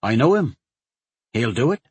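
A man voicing a sheriff, loyal to his lord and firm but uneasy, speaking in a measured, cautioning way. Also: medium pitch, big pitch swings, darkish tone, slightly rough, dry recording. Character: worried loyal sheriff, middle-aged man, stern.

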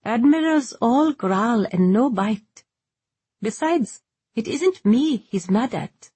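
A cheerful young sailor girl from a storybook, acted by a woman reader. Her voice sits high and a little husky. She talks in a breezy, curious way. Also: expressive, slow, warm tone, dry recording, heavily acted.

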